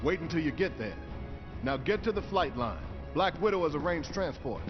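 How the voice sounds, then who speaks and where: An actor playing an authoritative, strategic commander giving a brisk briefing in a video game cutscene. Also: male voice, fairly high pitch, lively intonation, close to an everyday voice. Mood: brisk, commanding. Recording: muffled game audio under loud music.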